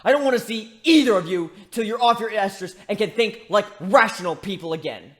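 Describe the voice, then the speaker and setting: A man's voice: high-pitched, a little fast, fairly bright tone. A man voicing a young male griffin in a dramatic story reading, hurt and bitter, ranting with sarcastic emphasis. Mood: bitter sarcasm. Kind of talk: dramatic fan fiction reading.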